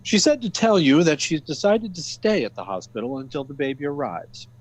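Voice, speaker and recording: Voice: medium pitch, lively intonation, brisk, close to an everyday voice, poor audio. A man playing a polite, matter-of-fact hospital desk nurse in an old-time radio comedy. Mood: polite, official.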